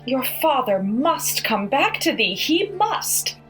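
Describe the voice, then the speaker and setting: A woman's voice: sits high, sing-song, slow pace, fairly bright tone, soft background music, full character voice. An actress playing a kindly, plain-spoken country mother with a Yorkshire accent, speaking in soothing dialect. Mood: tender.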